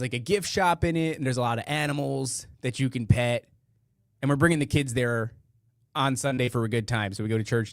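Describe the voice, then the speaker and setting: A man with a rough voice hosting a podcast, chatting casually in rambling, slangy, profane talk to a live audience online. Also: mid-range voice, dry recording, clean studio sound. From northeastern United States. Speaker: podcast host. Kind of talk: casual live chat, reading listener comments.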